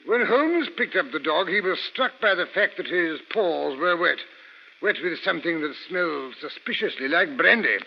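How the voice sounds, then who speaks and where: A genial gent storyteller, highish creaky voice, old radio drama, muffled and hissy. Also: male voice, lively intonation. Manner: genial, courteous, unhurried raconteur. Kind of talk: 1940s radio acting. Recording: muffled, noisy, reverberant old radio recording.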